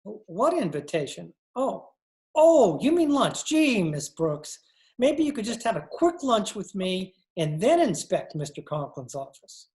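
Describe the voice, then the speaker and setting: A man's voice: high-pitched, big pitch swings, measured pace, darkish tone, heavily acted. A man playing a shy, hesitant, oblivious male teacher in old-time radio comedy style. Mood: bashful, puzzled. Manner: hesitant, naive.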